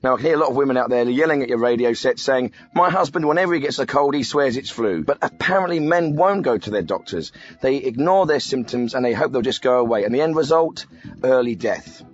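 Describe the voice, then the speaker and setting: An actor playing a glib, patronising radio host presenting a spoof phone-in show. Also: male voice, mid-range voice, lightly acted. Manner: patronising, breezy, dismissive.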